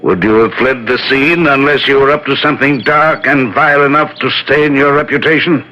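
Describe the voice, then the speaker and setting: A man's voice: mid-range voice, fairly even intonation, brisk, sharp tone, lightly acted. A man playing a stern, devout father, a middle-aged clergyman, earnest and accusing in radio drama style. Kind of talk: 1970s radio drama acting. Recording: dull, lightly noisy old radio recording.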